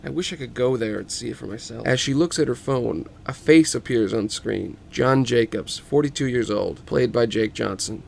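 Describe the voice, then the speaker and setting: A man's voice: mid-range voice, moderate pace, gravelly, hardly any pauses. A man narrating stage directions in an informal table read of a film script, as a podcast host. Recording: faint background noise.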